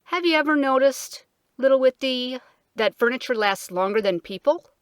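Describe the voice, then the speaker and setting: A woman's voice: high-pitched, animated, normal speed, clear, resonant tone, no echo, clean studio sound, pitched above the performer's own voice. A woman voicing a drowsy, cross old king who speaks solemnly and irritably, in a storybook reading. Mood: grumpy.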